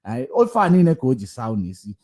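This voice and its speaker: A man's voice: very animated, brisk. Combative man hosting a livestream. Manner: combative, repetitive, swings between loud outbursts and low muttering. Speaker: livestream host. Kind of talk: casual political commentary livestream.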